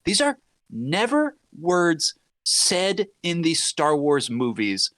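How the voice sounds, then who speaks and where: A man in his thirties talks as a guest on a casual podcast. His voice sits a little high and moves around a lot in pitch. He is emphatic and informal, in a dry recording. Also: slow, dry recording. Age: 30s. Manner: animated, emphatic, profane. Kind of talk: casual chat.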